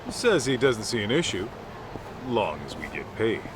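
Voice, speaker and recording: An actor playing a gruff, loyal yakuza bodyguard turned detective, blunt and streetwise, in a video game cutscene. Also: male voice, on the low side, very animated, moderate pace, noisy background. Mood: easygoing.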